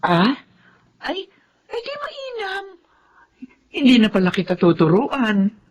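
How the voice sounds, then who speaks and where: A woman playing a patient, gentle housemaid mother in a Filipino melodrama, hesitant and emotive in delivery. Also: sits high, wildly swooping pitch, slow pace, highs cut off, full character voice. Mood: gentle, tentative. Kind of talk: Filipino radio-style melodrama acting.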